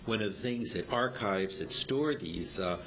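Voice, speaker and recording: A man speaking haltingly and hesitantly as he gives an informal technical explanation at a club meeting. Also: a bit bright. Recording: dull, noisy amateur meeting recording with rumble.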